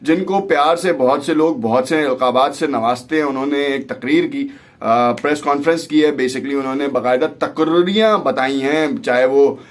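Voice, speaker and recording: A man's voice: mid-range voice, normal speed. A man with a Pakistani accent hosting a political vlog, giving conversational news commentary sprinkled with English words.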